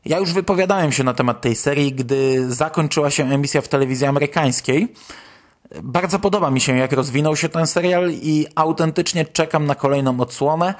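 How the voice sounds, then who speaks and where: A man's voice: a little high, brisk. A man hosting a podcast, presenting a news roundup in speech. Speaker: podcast host.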